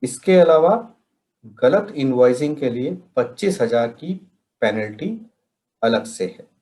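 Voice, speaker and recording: A man from India presenting a question-and-answer tutorial in a deliberate way, pausing between phrases. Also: mid-range voice, animated, a little mellow, low-quality recording. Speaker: presenter of a software training session.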